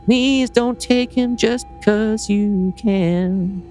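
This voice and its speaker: Guy acting out jilted male killer, way high pitched, bitter n sneering, possessive, music behind. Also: slow, darkish tone. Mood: eerie pleading. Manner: theatrical, anguished pleading and sneering.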